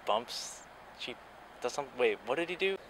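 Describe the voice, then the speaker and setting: A man giving casual, halting answers in an interview. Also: medium pitch, expressive, background noise.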